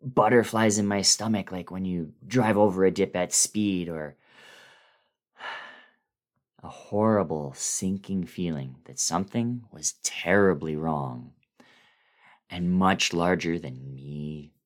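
A man narrating a first-person horror story as a dramatic reading, with theatrical, expressive delivery. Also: low voice, slow.

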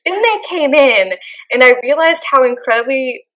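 A young woman co-hosting a podcast, chatting casually and gushing with animated energy. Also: sits high, poor audio. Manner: animated, gushing, quick laughs. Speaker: podcast co-host. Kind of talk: casual chat.

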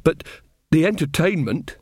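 A man's voice: on the high side, lively intonation, a little slow, a little mellow, husky, no echo, studio quality, voice pushed up. A man voicing simple, bewildered rustic village tradesmen in a storybook reading.

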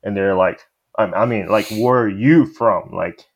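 Deep-voiced man with a Korean accent. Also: a little slow, breathy edge. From South Korea. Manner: easygoing, laughs often, fillers like "you know". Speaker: guest. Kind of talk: casual panel discussion. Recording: dull lo-fi sound.

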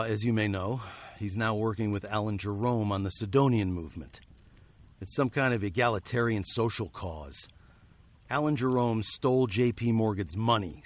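An actor playing a wary, lovelorn fugitive of the old American West in a radio drama, speaking in an earnest, questioning manner. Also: male voice, lowish voice, no echo, natural voice. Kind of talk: radio drama acting with narration.

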